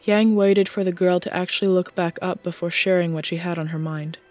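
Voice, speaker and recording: A woman narrates a scripted audiobook story. Her voice sits a little low in pitch, and faint music plays behind her. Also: steady tone, brisk, darkish tone, no echo.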